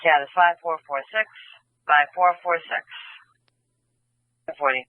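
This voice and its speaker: A man dispatches police calls in a brisk, directive way, his voice sitting somewhat high. He repeats addresses and codes over a dry, phone-thin radio channel. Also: dry recording. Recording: phone-like, narrow police scanner audio.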